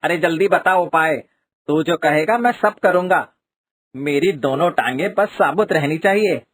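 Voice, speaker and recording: Cartoon role: man as excitable temple priest, fairly high voice, superstitious, blustering, scolding. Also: unhurried. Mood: anxious. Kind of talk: Hindi cartoon moral story dub.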